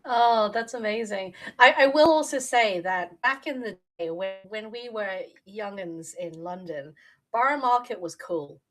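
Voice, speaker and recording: Woman, mid-range pitch, panel host, casual chat, conversational. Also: lively intonation, unhurried, poor audio.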